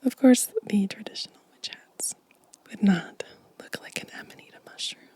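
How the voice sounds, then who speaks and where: A woman softly whispering as an ASMR host, gentle and unhurried, with a breathy voice close to the microphone. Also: medium pitch, no room sound. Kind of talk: soft-spoken whispered ASMR show and tell.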